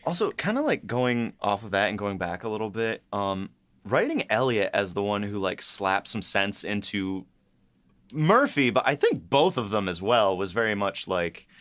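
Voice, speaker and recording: A woman co-hosting a podcast, chatting casually in an excitable, giggly, halting way. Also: a bass voice. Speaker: podcast co-host and writer.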